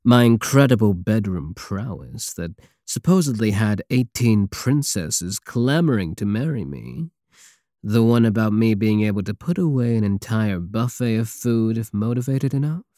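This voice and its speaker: A man's voice: fairly low pitch, expressive, mellow, no echo, clean studio sound, lightly acted. A man playing a big, teasing, possessive space pirate king in an intimate, sardonic ASMR roleplay. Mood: cocky, teasing. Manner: slow, teasing, purring endearments, sardonic.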